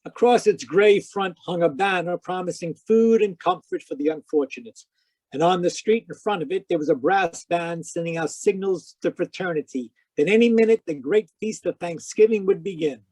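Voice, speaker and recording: Man as wry radio gumshoe. High voice, deadpan storytelling, dry sound. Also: moderate pace, dry recording, rough recording. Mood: wry narration. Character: wry hard-boiled private detective, Sam Spade. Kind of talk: old-time radio detective drama and narration. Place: live online performance over video call.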